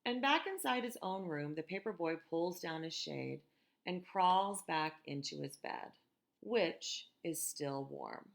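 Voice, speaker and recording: An American woman reading a picture book aloud to children in a gentle, expressive teacher's manner, with conversational asides. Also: on the low side, a little slow, gravelly. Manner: gentle, expressive, asks listeners questions.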